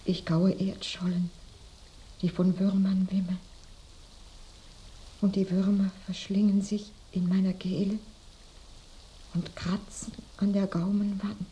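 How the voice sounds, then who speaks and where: A woman's voice: mid-range voice, little pitch movement, takes it slowly, long pauses, warm tone, lightly acted. An actress in a radio play, playing a dying woman who murmurs breathily, feverish and lost in sensual memories. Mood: feverish whisper. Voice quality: breathy whisper. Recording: noisy binaural radio drama recording.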